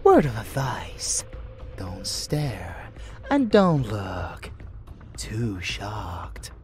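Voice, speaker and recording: A rebellious, unhinged vampire lover acted by a man in an intimate audio roleplay. He teases in an unhurried voice that slides widely in pitch, over faint music. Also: huge pitch swings, exaggerated voice. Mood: excited, conspiratorial. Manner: casual, teasing, intimate. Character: cocky young-sounding rebellious male vampire lover, unhinged. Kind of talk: ASMR roleplay audio drama.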